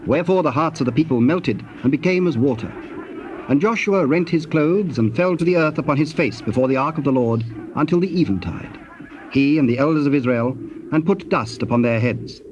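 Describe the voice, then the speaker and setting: Solemn male scripture narrator on dull tape. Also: fairly high pitch. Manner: solemn, formal, storytelling. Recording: dull, noisy old cassette tape.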